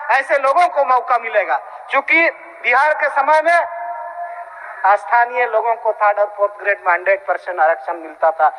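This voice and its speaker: A man's voice: sits high, sharp tone. A man delivers an emphatic political speech in an assembly, in long winding sentences. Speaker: ruling-party legislator. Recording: reverberant hall, public address sound.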